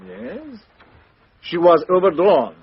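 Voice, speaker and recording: A thoughtful detective in a radio mystery, with a high, slightly husky voice. He muses and probes politely in formal, measured phrases. The recording is a little dull. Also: very animated, heavily acted. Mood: musing. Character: famous foreign detective, thoughtful, courteous, quietly probing. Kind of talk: radio drama acting. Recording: dull, band-limited radio drama recording.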